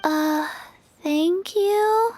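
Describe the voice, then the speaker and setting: A woman voicing a shy, polite little girl in a video game, with a small childlike voice and halting, subdued delivery. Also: very high voice, a little mellow, a little hiss or hum, heavily acted.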